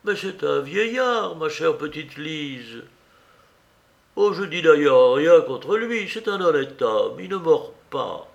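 A man's voice: a little high, sing-song, takes it slowly, gravelly, muffled recording, pitched above the performer's own voice, heavily acted. A man voicing an agitated, affectionate and blunt old aunt in an audiobook, scolding and fussing with emphatic exclamations. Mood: coaxing, blunt. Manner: exclamatory, repeats words emphatically. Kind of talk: dramatised audiobook reading.

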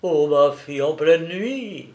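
A man's voice: a little high, animated, slow, darkish tone, highs cut off. A man reading aloud, playing an older baron who stammers out urgent, distraught apologies. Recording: echoey room.

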